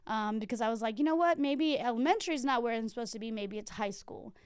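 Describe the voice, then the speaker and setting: Chatty Texan woman, crisp higher voice. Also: fast talker, no echo, clean studio sound. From Texas. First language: Spanish alongside English. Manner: chatty storytelling with many fillers. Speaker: guest, library branch manager. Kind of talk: casual interview.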